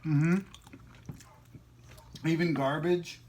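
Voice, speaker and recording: A man's voice: on the high side, steady tone, extremely slow, a little mellow, slight background noise. A man hosting a casual mukbang vlog, chatting in a jokey, slangy, playful way while eating.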